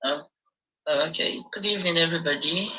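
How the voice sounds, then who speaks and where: Bright-voiced Nigerian woman telling a story. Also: low voice, fairly quick, lo-fi sound. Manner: storytelling, hesitant with ums. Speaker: caller sharing a testimony. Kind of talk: call-in testimonial.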